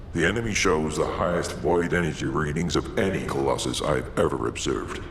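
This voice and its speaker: A grave, concerned commander briefs in a video game. His voice is rock-bottom low with a heavy rasp of fry. He is measured and cautioning, in an echoing space with rumble behind. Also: fairly bright tone, full character voice. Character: grave, concerned male military mission commander. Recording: echoing, clear background rumble.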